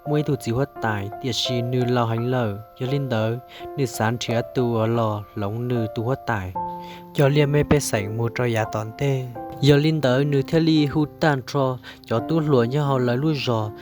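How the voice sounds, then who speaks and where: Male cartoon character, played by a man, middling pitch, music behind. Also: fairly even intonation, normal speed, natural voice. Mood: talkative. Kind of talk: cartoon story voice acting.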